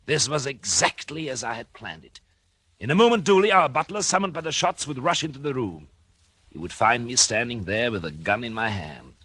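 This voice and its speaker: An American actor playing a calculating, murderous doctor in an intense, deliberate first-person narration for a 1940s radio suspense drama. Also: male voice, medium pitch, pushed and bright, slightly rough, no room sound, exaggerated voice. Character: calculating murderous husband, a doctor, smug then horrified. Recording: 1940s radio recording with music behind.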